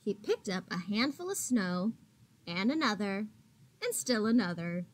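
A woman in her thirties, a teacher reading a picture book aloud to young children in a deliberate, expressive way with drawn-out words. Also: mid-range voice, poor audio. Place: small indoor classroom. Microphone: laptop or webcam at arm's length.

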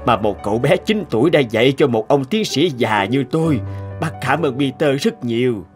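A man playing a gruff, brusque old scientist in a Vietnamese-style moral cartoon dub. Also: medium pitch, animated, moderate pace, over music, close to an everyday voice. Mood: humbled, kindly. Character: gruff old male scientist, blunt but ready to apologise. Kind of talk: animated moral tale dubbing.

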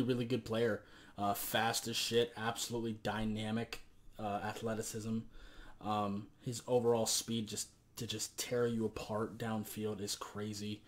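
A young man with a somewhat low voice, hoarse from talking for hours. He gives casual, rambling football takes full of fillers. He is recorded on a webcam in a small bedroom. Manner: loose and rambling, many fillers. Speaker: sports vlogger.

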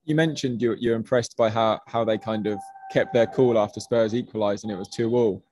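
A male reporter poses a measured question from across a press room, sounding distant from the microphone. A faint hiss of background noise sits under his voice. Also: lowish voice, steady tone, darkish tone.

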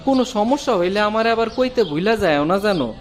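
Friendly village woodcutter. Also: male voice, sits high, lively intonation, quick pace, a little hiss or hum. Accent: rural eastern Bengali. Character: friendly helpful village woodcutter, adult man. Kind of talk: cartoon voice acting.

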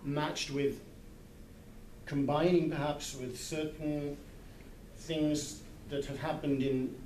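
A man's voice: a little high, steady tone, slow pace, darkish tone. A man in his sixties speaking thoughtfully and hesitantly as a literary translator in an informal group discussion. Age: 60s. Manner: thoughtful, hesitant, searching for words. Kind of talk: informal discussion at a book club. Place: large indoor hall, small group seated in circle. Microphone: camera a few metres away. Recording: echoey, distant camera mic, background noise.

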